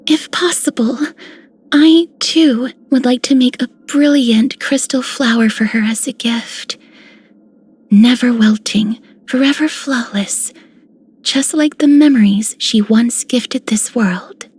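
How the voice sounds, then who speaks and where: A high, sweet young female voice for a gentle video game healer. She speaks softly and earnestly, with a tender temper. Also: slow, slightly rough. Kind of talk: video game character voice lines.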